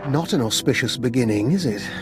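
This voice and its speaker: A man's voice: medium pitch, lively intonation, over music, lightly acted. A man playing an erudite, dry scientist who speaks precisely and wryly in a video game. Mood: dry, measured. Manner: measured, precise, wry.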